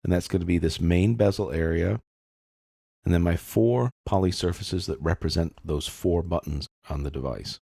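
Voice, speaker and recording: A man narrating a software tutorial in a casual, methodical way, explaining each step as he goes. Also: low voice, moderate pace, gravelly, breathy edge, dry recording, clean studio sound. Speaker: software instructor.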